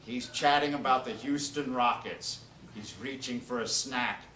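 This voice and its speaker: Male author, mid-pitched, comedic live reading with informal asides; echoey room recording with clear background noise. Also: measured pace, pushed and bright. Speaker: author reading from his own book. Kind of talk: comedic storytelling, reading aloud to an audience. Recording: roomy, distant, noisy live event recording.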